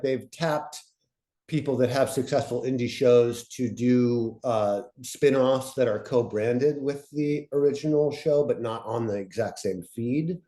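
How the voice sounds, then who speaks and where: A man's voice: mid-range voice, mellow. A man giving a casual, unscripted workshop talk as a panelist, explaining things step by step in a conversational way. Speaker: panelist presenting on fiction podcast pitching.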